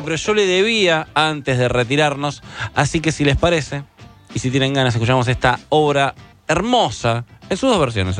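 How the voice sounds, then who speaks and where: A man with an Argentine accent hosting a radio show, chatting casually and rambling with fillers as he introduces a song from the studio. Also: mid-range voice. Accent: Argentine Spanish. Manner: relaxed, rambling, with fillers and self-corrections. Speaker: radio host.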